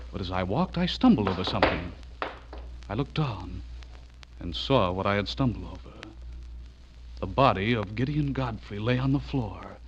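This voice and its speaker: A man's voice: on the low side, noisy background, natural voice. An actor playing an earnest, rattled man who narrates his own horror story in a theatrical, suspenseful radio drama style. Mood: tense, horrified. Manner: theatrical, intense, suspenseful delivery. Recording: muffled radio drama over loud music.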